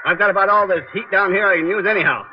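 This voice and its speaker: An actor in a 1940s radio drama playing a polite, curious visitor to a tunnel. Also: male voice, sits high, fast, close to an everyday voice. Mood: agreeable. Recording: muffled 1940s radio with faint noise.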